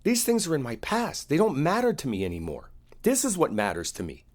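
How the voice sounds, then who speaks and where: A man playing a frustrated, wounded boyfriend in a one-sided audio roleplay, talking in a naturalistic, halting way with a husky voice. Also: a little high, wildly swooping pitch, darkish tone. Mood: exasperated, defensive. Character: frustrated, wounded boyfriend arguing with his partner.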